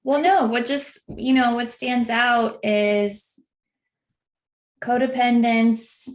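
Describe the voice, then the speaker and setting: A woman hosting a podcast in a measured, affirming way, summing up her guest's ideas and asking follow-up questions. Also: on the high side, steady tone. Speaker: podcast host. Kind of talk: casual podcast conversation.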